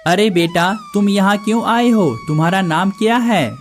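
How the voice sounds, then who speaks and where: A man's voice: high-pitched, moderate pace, faint music behind. A man voicing the brothers and villagers of a cartoon story in plain conversational dialogue.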